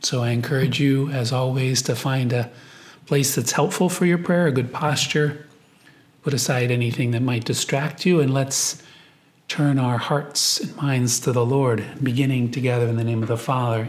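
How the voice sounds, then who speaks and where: A man with a mid-pitched voice leads a guided meditation as a priest would. He speaks in a reflective, prayerful way, taking his time through prayer and scripture. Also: fairly even intonation, moderate pace.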